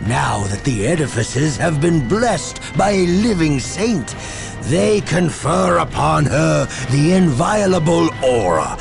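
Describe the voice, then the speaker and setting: An actor playing a solemn, zealous priest of a sci-fi holy order in a video game, with grandiose ceremonial diction and a gravelly voice. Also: male voice, fairly high pitch, sing-song, takes it slowly, full character voice. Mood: grave, proud. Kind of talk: theatrical video game voice acting. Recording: music behind the voice. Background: game music.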